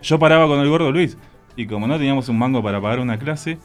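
A man's voice: mid-range voice, fast talker, soft background music. A man, joking and telling stories on a radio show, laughing often. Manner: playful, storytelling, laughs often. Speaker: band member guest. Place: radio studio.

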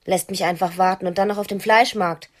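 Actress as brazen, quick-witted, restless wife. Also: female voice, lowish voice, fairly even intonation, close to an everyday voice. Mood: brazen, indignant. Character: restless, hard-drinking wife bluffing in disguise, quick-witted. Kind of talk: 1990s German radio play acting.

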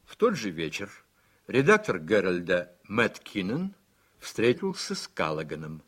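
A man's voice: low voice, huge pitch swings, measured pace, a bit bright, husky. A man narrating a Russian radio play in a scripted, storytelling style.

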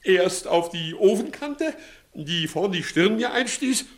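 Village judge, male: evasive, servile blusterer for classical radio comedy, lightly raised pitch, very animated delivery. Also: slow pace. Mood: evasive, theatrical. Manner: servile to superiors, barking at underlings. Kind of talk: 1960s German radio play acting, classical verse comedy.

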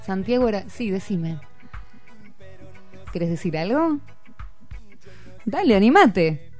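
A woman's voice: medium pitch, big pitch swings, slow, darkish tone, soft background music. A woman with an Argentine accent hosting a school radio show, coaxing children with encouraging questions. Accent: Argentine Rioplatense Spanish. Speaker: radio host talking with schoolchildren. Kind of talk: casual classroom radio chat.

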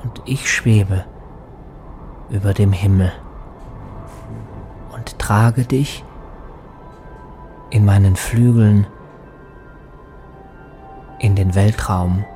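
An actor playing a melancholic lost soul in a poetic radio play, dreamy and lyrical in delivery. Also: male voice, lowish voice, fairly even intonation, drawn-out words, long pauses, mellow. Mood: dreamy, weightless. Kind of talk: poetic audio drama monologue. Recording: music behind the voice.

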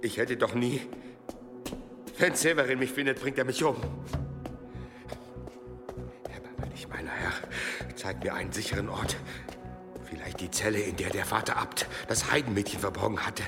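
An actor playing a frightened, pious medieval monk in a radio play, muttering breathless pleas and prayers. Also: male voice, lowish voice, normal speed, close to an everyday voice. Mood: panicked. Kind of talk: German radio play acting. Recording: long stone-hall reverb, music bed.

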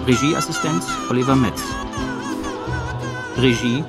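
A man with a gravelly voice reading out lists as a radio drama announcer, item by item. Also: mid-range voice, takes it slowly, close to an everyday voice. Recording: voice over loud music. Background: loud music.

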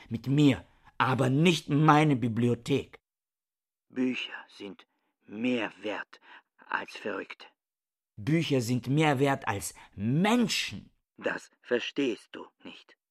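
Slow-spoken, embittered bookish scholar. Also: male voice, mid-range voice. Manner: insistent, pedantic, declamatory. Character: embittered, book-obsessed reclusive scholar defending his sanity. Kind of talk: German radio play acting.

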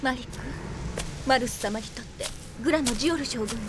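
An actress playing a gentle, grave young noblewoman speaking solemnly in a radio drama. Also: on the high side, brisk, noisy background, lightly acted.

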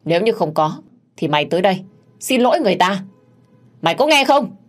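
A woman's voice: fairly low pitch, quick pace, a little mellow, natural voice. A woman voicing a domineering older mistress of the house in an audio story, scolding and barking orders. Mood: angry, accusing.

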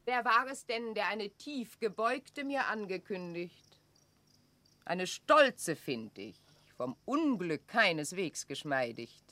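An actress playing a haughty, suspicious reigning queen in a classical radio drama, with measured, imperious declamation. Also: medium pitch, big pitch swings, pushed and bright, no echo, full character voice. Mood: cold, displeased. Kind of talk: classical German stage drama radio play.

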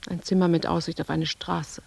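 An anxious, creaky-voiced inner monologue. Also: female voice, lowish voice, level tone, moderate pace, a little mellow, exaggerated voice. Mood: brooding. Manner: terse, fragmentary, brooding, dropping to whispers. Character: anxious, withdrawn younger woman lost in inner thoughts. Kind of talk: literary radio drama monologue.

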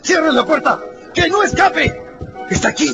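A man acting as an agitated, bossy, flustered policeman in a radio drama, excitable and exclaiming. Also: very high voice, measured pace, muffled recording, over music. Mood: frantic.

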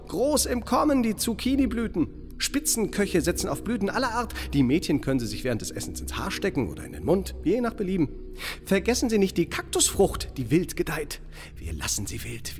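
Self-satisfied seaside mayor, radio drama: highish voice, oratorical, slippery, in a reverberant hall. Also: male voice. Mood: promotional, smug. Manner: oratorical, unctuous, blandly bureaucratic. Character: evasive, self-satisfied small-town mayor of a seaside resort. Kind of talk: German radio drama acting. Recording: long hall reverb, music underneath.

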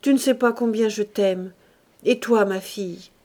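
A woman's voice: medium pitch, unhurried, dark tone, close to an everyday voice. A woman voicing a miserly old father in an audiobook, blustering and coaxing in short exclamations. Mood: cajoling.

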